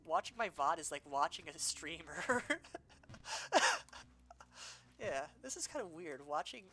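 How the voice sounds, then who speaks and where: A man's voice: a little high, very animated, measured pace, pushed and bright. A young man streaming a game, chatting casually in a rambling, self-deprecating way with many fillers, his voice slightly nasal from allergies. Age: young adult.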